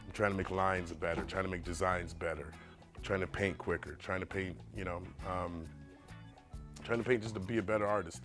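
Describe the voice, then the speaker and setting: A middle-aged man with a very deep voice talks about painting shoes, loose and rambling and full of "um"s. Faint music plays under the interview. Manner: relaxed, rambling with many fillers. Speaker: shoe painter. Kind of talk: documentary interview.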